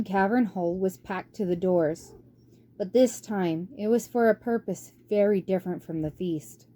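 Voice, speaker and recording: A woman's voice: medium pitch, mellow. A woman reading a storybook aloud as a scripted narration. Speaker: amateur audiobook reader.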